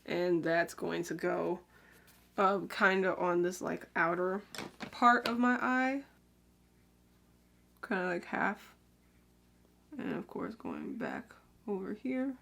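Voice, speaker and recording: A woman's voice: fairly low pitch, very animated, takes it slowly, creaky, pauses often, slight background noise. Chatty young woman doing makeup. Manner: chatty, rambling, lots of "like" and "um"; talks while applying makeup, drifts quieter then brighter. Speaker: beauty vlogger. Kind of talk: casual get-ready-with-me makeup chat.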